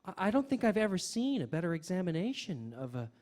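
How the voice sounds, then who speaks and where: Male radio host, variety show style, halting phrasing, repeated words, semi-scripted comic presenting. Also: high voice, sing-song, darkish tone. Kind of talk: live radio hosting, semi-scripted comedy.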